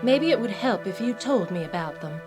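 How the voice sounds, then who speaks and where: An actress playing a concerned adult daughter in an audio drama, soothing and gently persuasive. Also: female voice, mid-range voice, lively intonation, moderate pace, music in the background, close to an everyday voice. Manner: soothing, coaxing. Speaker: drama actor.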